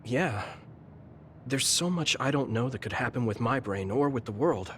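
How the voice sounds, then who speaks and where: A man playing an earnest, steady young soldier in a video game, speaking in a measured, kindly way. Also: mid-range voice, slight background noise, lightly acted. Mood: gentle, encouraging. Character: earnest young male soldier, kind, steady, easily exasperated. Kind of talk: English video game voice acting. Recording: music behind the voice.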